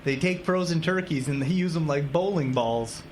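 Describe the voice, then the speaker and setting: A woman's voice: low voice, normal speed, darkish tone, a little hiss or hum, lightly acted. A woman playing an eager, stubbornly curious young married man coming home, in an audio drama. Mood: cheerful, reassuring.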